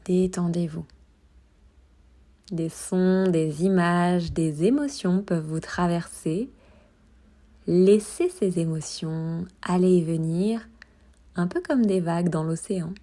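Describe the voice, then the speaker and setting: A woman guiding a body scan meditation in a soft, at times breathy voice, with calm, soothing instructions and long pauses. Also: on the low side. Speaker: yoga meditation guide.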